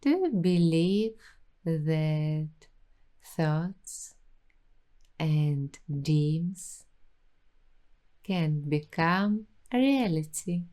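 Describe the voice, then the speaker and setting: A woman hosting a soft-spoken ASMR roleplay, talking dreamily and intimately to the listener. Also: sits low, animated. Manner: slow, dreamy, intimate, addressing the listener directly.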